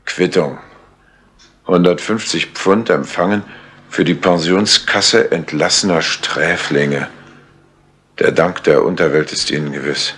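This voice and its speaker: An actor playing a grateful, flustered British colonel in a 1960s German crime radio play, hesitant and wordy. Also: male voice, low-pitched, measured pace, a bit bright. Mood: stunned. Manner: hesitant, wordy, with stammered fillers. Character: male British colonel, grateful, flustered senior intelligence official. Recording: noisy old 1960s tape recording.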